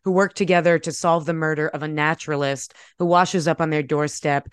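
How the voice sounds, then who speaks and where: Clear, smooth-voiced American woman. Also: sits low, steady tone, a little fast, dry recording. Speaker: debut author, guest. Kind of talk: conversational interview talk and prose reading from own novel.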